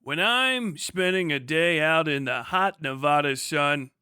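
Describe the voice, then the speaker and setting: A man putting on a cheery retro-futuristic soda commercial pitchman, with a slow, hammy mock 1950s advertising delivery. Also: animated, sharp tone, smooth, no room sound, clean studio sound. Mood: folksy salesmanship.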